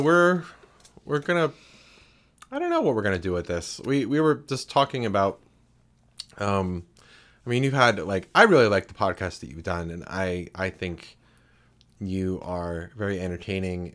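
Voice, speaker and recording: A man with a deep voice co-hosting a home podcast, talking in a halting way with restarts, close to a desk mic with a pop filter. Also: expressive, a bit bright. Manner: halting, with many restarts and fillers. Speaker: podcast co-host. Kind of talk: casual chat. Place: home room, sitting opposite his partner. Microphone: USB desk mic with pop filter.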